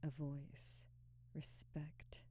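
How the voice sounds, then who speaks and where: Low, dark-voiced vampire aristocrat, acted by a woman. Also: monotone, no echo, full character voice. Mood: wistful, intense. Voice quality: soft, breathy, whispery. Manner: measured, formal, old-fashioned diction. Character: proud, ambitious female vampire aristocrat, remorseful, domineering captor. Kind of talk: intimate ASMR roleplay, scripted monologue.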